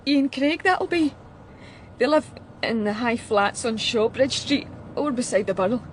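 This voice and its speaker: An actress playing a shaken, chatty young woman musician with a Glasgow Scottish accent, talking in a halting, blunt, colloquial way in a radio drama. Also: on the high side, animated, a little hiss or hum, natural voice. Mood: subdued. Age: young adult. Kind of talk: naturalistic radio drama acting.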